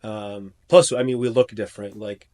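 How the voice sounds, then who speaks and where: Man, american, low voice, casual interview talk, fillers and restarts. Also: fairly even intonation, normal speed, darkish tone. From United States. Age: middle-aged. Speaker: orthopedic trauma surgeon, guest. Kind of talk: interview answers, conversational.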